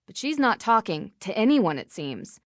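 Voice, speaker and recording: An earnest young woman detective from a video game , acted by a woman. She speaks in a measured, conversational way at a middling pitch, curious and level-headed, in a dry, close recording. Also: sing-song, dry recording, lightly acted. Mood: thoughtful, suspicious.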